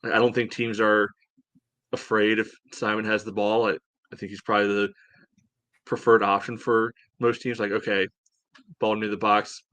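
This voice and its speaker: Low-voiced man talking soccer, halting delivery. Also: flat delivery, a bit bright, dry recording. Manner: halting, rambling delivery peppered with "you know". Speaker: soccer podcast host. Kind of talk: casual sports analysis chat.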